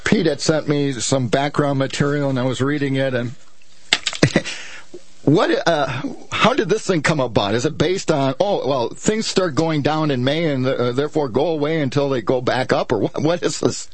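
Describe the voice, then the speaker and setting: A man hosting a local morning radio show, friendly and halting with fillers. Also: mid-range voice, no room sound. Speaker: radio host. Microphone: studio microphone.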